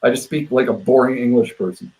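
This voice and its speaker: A man's voice: medium pitch, fast, low-quality recording. Opinionated guy from Quebec. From Quebec, Canada. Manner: opinionated, emphatic, repeats words when excited. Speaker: guest whisky reviewer. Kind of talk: casual live-stream chat.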